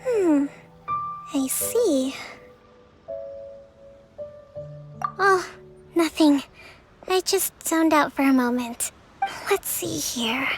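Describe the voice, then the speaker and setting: A woman voicing a composed young female strategist and priestess in video game dialogue, measured and analytical. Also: high voice, lively intonation, heavily acted. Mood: pensive. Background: game music.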